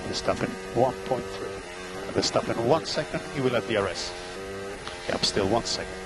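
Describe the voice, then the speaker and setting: A man working as a race engineer, giving clipped, steady calls of gaps, lap times and instructions over team radio. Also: on the low side, normal speed, over loud music.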